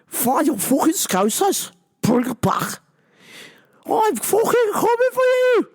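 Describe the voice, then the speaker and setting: A woman's voice: extremely high pitch, very animated, takes it slowly, darkish tone, voice pushed up, full character voice. A woman putting on an exaggerated Liverpool accent, swaggering and swearing like a rowdy local. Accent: mock Liverpool accent. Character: rowdy boastful Liverpool lad, mock threats.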